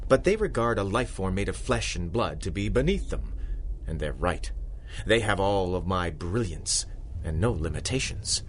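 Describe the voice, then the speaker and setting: Arrogant mechanical mastermind, low male voice, grandiose and wordy, 1930s-style radio serial, noisy background. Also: sing-song, moderate pace. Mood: haughty, bitter. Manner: pompous, grandiose, verbose. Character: arrogant robot duplicate of a criminal mastermind.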